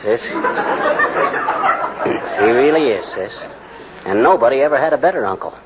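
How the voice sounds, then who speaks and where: A man's voice: mid-range voice, expressive, moderate pace, close to an everyday voice. An American man playing a blustery, folksy middle-aged husband who grumbles and wisecracks in slangy 1940s radio comedy style. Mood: earnest. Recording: noisy, dull 1940s radio recording.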